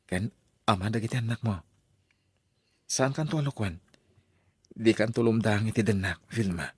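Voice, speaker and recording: An actor playing a persistent, pleading suitor with an Ilocano accent in a radio drama. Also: male voice, fairly low pitch, slightly rough, no echo. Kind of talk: Filipino radio drama acting.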